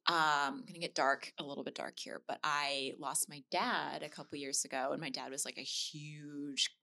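A woman's voice: low voice, fairly even intonation, normal speed, gravelly, pushed and bright. A young adult woman chatting casually and candidly as a podcast guest, with a self-deprecating way of talking. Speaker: podcast guest, comedian and actor. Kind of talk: casual personal chat.

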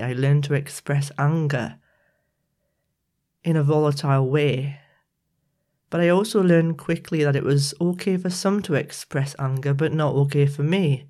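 A woman hosting a reflective solo podcast, talking in a gentle, measured way while congested and croaky from a viral infection. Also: sits low. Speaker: podcast host.

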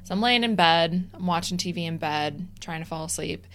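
Young woman podcast co-host, smooth low-leaning voice, casual storyteller. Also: a little fast. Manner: animated storytelling, acts out her own texts. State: recovering from vaccine side effects. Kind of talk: casual chat.

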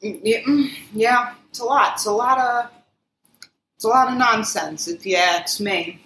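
A woman's voice: a little high, unhurried, fairly bright tone. Blunt teasing New York woman co-host, echoey room, faint background noise. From Queens, New York. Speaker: podcast co-host. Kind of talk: casual podcast chat.

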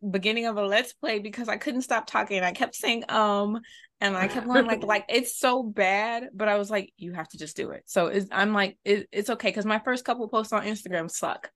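A young woman guest in a casual chat, talking quickly with laughs and asides. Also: mid-range voice, a bit bright, dry recording, clean studio sound.

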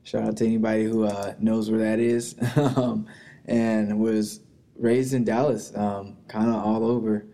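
A young man from Texas with a low-pitched voice, talking as a guest on a podcast. He rambles casually and pads his talk with fillers, unhurried and easygoing. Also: little pitch movement, mellow. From Texas, USA. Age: young adult. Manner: laid-back, rambling with many fillers. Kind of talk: casual conversation.

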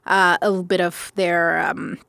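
A woman's voice: on the low side, level tone, moderate pace, creaky, fairly bright tone, no room sound. A woman born in India and raised in France, speaking as a guest in a casual interview and acting out remembered conversations as she tells stories. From born in India, grew up in France. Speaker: guest, style consultant.

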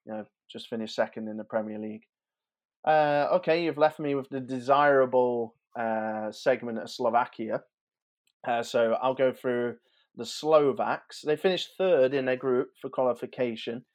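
A man's voice: medium pitch, darkish tone. A man in his thirties chatting informally and a little hesitantly as a podcast host previewing sport. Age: 30s. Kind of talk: casual football preview chat.

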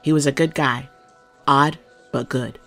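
A woman's voice: rumbling low, steady tone, a little slow, fairly bright tone, soft background music. An actress playing a loyal, candid policewoman who speaks deferentially but frankly to her captain in an audio drama. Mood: matter-of-fact, candid.

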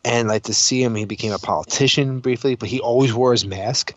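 A man's voice: medium pitch, brisk, no room sound. Male co-host, mid-range voice, loose conversational storyteller with salty language, slightly lo-fi close sound. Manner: informal, sweary, rambling. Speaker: podcast co-host. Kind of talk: casual chat, storytelling.

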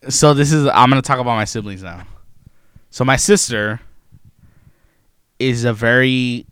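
A man's voice: mid-range voice, unhurried, fairly bright tone, clean tone, dry recording, studio quality. A young man in casual podcast chat, loose and profane, rambling with trailing asides.

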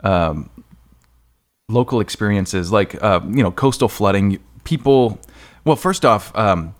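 A man's voice: fairly low pitch, animated, takes it slowly. A man hosting a podcast interview in a casual, informal way, thinking aloud with some hesitation. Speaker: podcast host interviewing an author.

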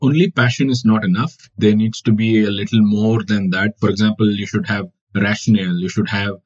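A man's voice: fairly low pitch, fairly even intonation, fairly quick, a little mellow. A man from India whose first language is Kannada, giving reflective interview answers as a wildlife photographer with many fillers. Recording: dull lo-fi audio.

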